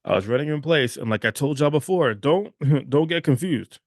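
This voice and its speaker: A man's voice: a little high, lively intonation, moderate pace, no room sound. A male podcast host delivers a motivational monologue in a slightly raised voice. He talks emphatically, repeats phrases for weight and keeps checking in with "okay?". The recording is dry and close.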